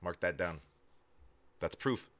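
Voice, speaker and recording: A man's voice: sits low, animated, takes it slowly, a bit bright. A man hosting a reaction video, dropping playful, joking comments between clips.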